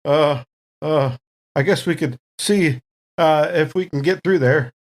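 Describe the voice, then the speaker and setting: A man playing a rattled spaceship crewman who stammers and repeats words in a halting mumble. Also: on the high side, pitched below the performer's own voice, natural voice. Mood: nervous, stammering.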